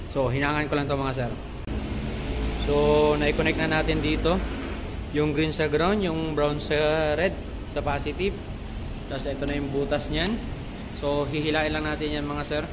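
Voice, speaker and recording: A man's voice: fairly high pitch, level tone, moderate pace, background noise. A man with a Filipino accent chatting casually as a motorcycle vlogger, explaining things conversationally to his viewers and often repeating himself. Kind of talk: casual vlog chat and DIY walkthrough.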